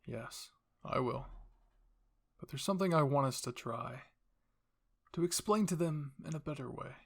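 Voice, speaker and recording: Man acting gravelly older royal father, commanding. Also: mid-range voice, unhurried. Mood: calm, commanding.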